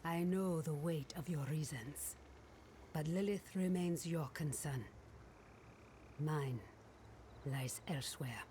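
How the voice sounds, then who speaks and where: Low-voiced solemn swamp witch. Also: dark tone, slight background noise. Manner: measured, ominous. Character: solemn, stern female swamp witch, cryptic and composed. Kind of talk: dramatic video game cutscene acting.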